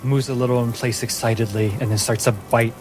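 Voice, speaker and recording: A man's voice: medium pitch, steady tone, a little fast, low-quality recording. A man narrating a fantasy tabletop game as its game master. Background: music under the voice.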